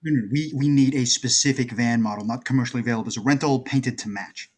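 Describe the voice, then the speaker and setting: A man playing a brisk, confident heist planner in a screenplay table read, matter-of-fact and decisive. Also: mid-range voice, husky, natural voice. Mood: businesslike.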